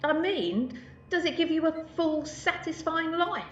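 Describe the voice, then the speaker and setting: Woman as a high-voiced, glib alien hitchhiker. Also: expressive, measured pace, a little hiss or hum. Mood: coaxing. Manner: glib, persuasive, broken-up patter. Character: quick-witted, breezy female alien hitchhiker, coaxing and flippant. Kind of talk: comic amateur radio drama acting. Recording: dull, echoey home recording.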